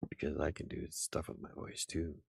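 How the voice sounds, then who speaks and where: A man hosting a casual after-hours chat show, rambling, laughing often and swearing now and then, with a slightly raspy voice. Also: extremely low pitch, little pitch movement, moderate pace, darkish tone, no echo, studio quality. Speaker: host.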